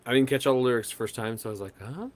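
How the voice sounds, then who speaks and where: A man's voice: medium pitch, steady tone, rapid-fire, no room sound. A man co-hosting a podcast in casual chat, rambling and hesitant, with many fillers and restarts. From United States. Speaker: podcast co-host.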